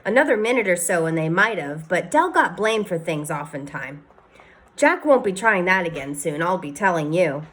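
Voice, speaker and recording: A woman's voice: fairly low pitch, lively intonation, moderate pace, fairly bright tone, husky. A woman voicing an easygoing, joking farmer father in a storybook read-aloud. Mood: teasing.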